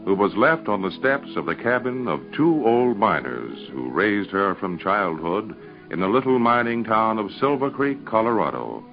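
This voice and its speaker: An American man reading scripted narration and advertising as an old-time radio serial announcer, with emphatic, deliberate enunciation. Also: on the low side. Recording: dull, band-limited vintage radio recording.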